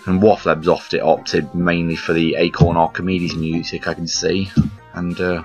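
A man casually commentating on a puzzle game as he plays, rambling and poking fun at himself, his voice croaky today. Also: low-pitched, flat delivery, moderate pace, a little mellow. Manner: rambling, self-deprecating, chuckles often. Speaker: gameplay commentator. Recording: slightly dull lo-fi recording with game music behind.

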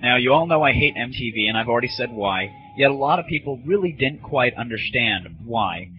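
A man's voice: medium pitch, expressive, a little fast, soft background music. A man hosting a comedy audio show, talking casually and crudely in a sarcastic, irreverent manner. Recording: muffled, noisy lo-fi audio.

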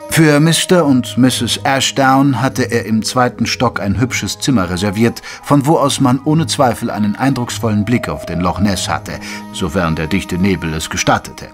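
A man playing an earnest Victorian doctor who narrates a detective audio drama in a measured, literary way. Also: medium pitch, faint music behind, natural voice. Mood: measured, grave. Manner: measured, literary storytelling delivery. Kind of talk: scripted German audio drama narration with dialogue.

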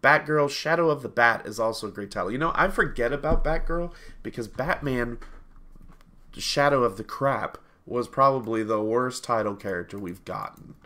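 A man's voice: on the low side, pushed and bright. A man in his thirties, sniffly from allergies, chatting casually over a headset on a video call from a small home room, rambling and correcting himself as he goes. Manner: rambling, self-correcting, laughs easily. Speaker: game reviewer co-host.

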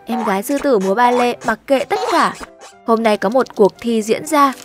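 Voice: mid-range voice, normal speed. A narrator telling a children's cartoon story in scripted lines, with music behind. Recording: music under the voice.

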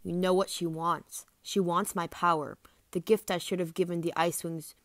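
A woman voicing a bitter, proud male dragon prince with a deliberate delivery. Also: lowish voice, little pitch movement, no room sound, lightly acted.

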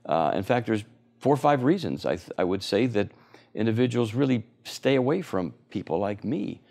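A man's voice: lowish voice, sing-song, normal speed, darkish tone. A man in his sixties, an oral surgeon, being interviewed on a TV set, explaining eagerly with many fillers. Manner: enthusiastic, explanatory, frequent fillers. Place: TV studio.

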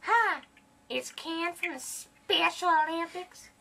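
A man's voice: extremely high pitch, slow pace, gravelly, voice pushed up, exaggerated voice. A young man playing a chirpy, politely insistent phone canvasser who keeps repeating himself. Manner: polite, repetitive pestering. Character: chirpy, insistent Special Olympics caller named Ken. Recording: noisy home camcorder recording.

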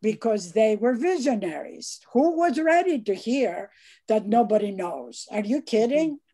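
An older woman who grew up in Greece speaks earnestly on a video call, her voice warm and mellow. She pushes her points with rising emphasis, heard through a headset from a book-lined home study. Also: a little high, expressive, measured pace. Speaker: psychiatrist commenting in Q&A. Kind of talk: online seminar comment.

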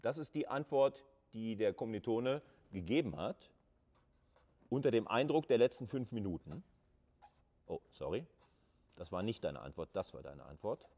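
A male university lecturer with a fairly low voice. He explains in a colloquial, conversational style, thinking aloud as he goes and turning to students with questions. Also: sing-song, normal speed, long pauses, warm tone.

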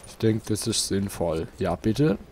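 A man's voice: on the low side, expressive, unhurried, darkish tone, a little hiss or hum. A man hosting a casual let's play, chatting to his viewers. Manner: chatty, talks to viewers, asks for likes. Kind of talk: casual gameplay commentary.

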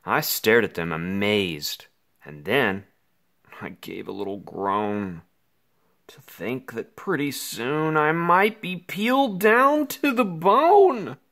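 A man reading a rhyming children's story aloud theatrically, with dramatic pauses, as an anxious, melodramatic five-year-old boy. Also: mid-range voice, lively intonation, a bit bright. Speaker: picture book reader.

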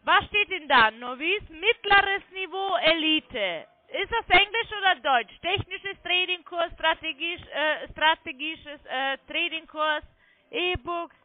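High-pitched woman on a phone line. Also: lively intonation, slow, no echo. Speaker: phone sales agent claiming to be technical support. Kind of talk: phone sales call, step-by-step instructions.

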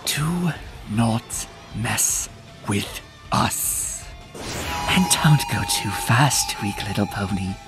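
Slow, gloating gremlin villain. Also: male voice, lowish voice, expressive, loud music behind the voice. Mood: mocking, menacing. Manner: slow, mocking, formal, drawn-out. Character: gloating, menacing villain gremlin who feeds on despair. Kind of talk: theatrical comic dub villain.

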